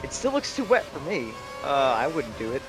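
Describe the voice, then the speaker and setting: A man's voice: on the high side, huge pitch swings, brisk. Laid-back young man commentating a racing game. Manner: joking, laid-back, swears casually. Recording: commentary over game engine noise. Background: racing game engine sounds.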